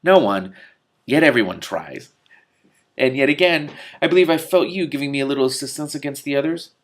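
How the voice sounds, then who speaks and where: A gravelly god of fate from a fantasy storybook, read by a man. He is middle-aged, kindly on the surface and sinister beneath. He speaks formally, with a knowing, taunting edge. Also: medium pitch, animated, fairly quick, voice pushed up, lightly acted.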